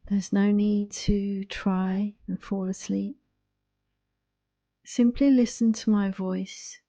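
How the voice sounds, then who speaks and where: Very soft, mellow woman guiding meditation. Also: medium pitch, steady tone, dry recording. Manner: soothing, hushed, unhurried delivery. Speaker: yoga nidra guide. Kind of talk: guided relaxation meditation.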